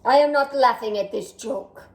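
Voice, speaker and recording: A woman's voice: on the high side, moderate pace, natural voice. A woman voicing a vain, dramatic male French snail who speaks theatrically, admires himself and talks straight to the listener. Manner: theatrical, self-admiring, addresses listener directly. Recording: roomy echo.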